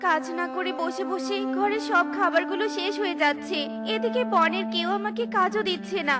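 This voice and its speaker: A woman's voice: very high voice, moderate pace, loud music behind the voice. A woman voicing a poor, humble and caring mother bird in a cartoon, with an earnest, plaintive manner. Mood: worried. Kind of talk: cartoon voice acting.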